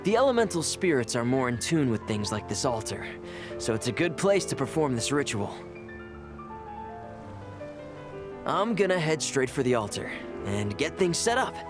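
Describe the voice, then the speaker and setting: An actor playing a driven, scheming ritual caster in a fantasy video game, with a measured, assured, explanatory delivery. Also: male voice, a little high, big pitch swings. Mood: calm, instructing. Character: driven, scheming adult male ritual caster, outwardly composed. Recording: music under the voice. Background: game music.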